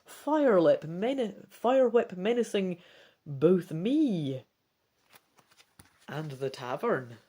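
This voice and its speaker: A woman's voice: on the low side, animated, drawn-out words, pauses often, dark tone. A woman hosting a gamebook playthrough, reading dramatically and adding informal, self-deprecating asides. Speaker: host of a gamebook let's play.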